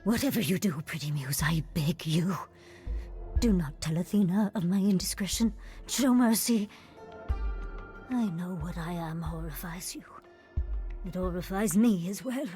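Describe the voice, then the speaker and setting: A woman's voice: mid-range voice, normal speed, warm tone, soft background music, natural voice. An actress playing a cursed, blood-hungry female monster spy who speaks in formal, archaic, pleading phrases in a video game. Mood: pleading, sorrowful. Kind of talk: theatrical video game voice acting.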